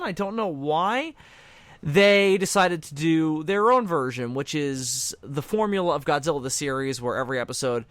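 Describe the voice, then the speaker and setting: A man's voice: mid-range voice, fairly bright tone, smooth, dry recording. A man hosting a casual fan commentary on a cartoon, explaining things in a matter-of-fact way. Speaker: commentary host.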